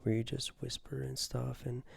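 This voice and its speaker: A man's voice: medium pitch, flat delivery, normal speed, gravelly, husky. A young man co-hosting a podcast, talking in a loose, rambling way and laughing often. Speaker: podcast co-host. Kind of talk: casual chat.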